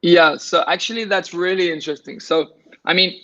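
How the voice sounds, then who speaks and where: A man's voice: sits high, fairly even intonation, normal speed, sharp tone, no echo, muffled recording. A man guest in a casual conversation, rambling with many restarts and fillers.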